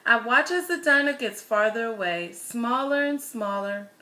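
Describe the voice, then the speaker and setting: A woman with a fairly high, very bright voice reads a children's story aloud. Her delivery is expressive and deliberate, leaning on key words as a storyteller does for young listeners. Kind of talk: expressive children's picture-book read-aloud.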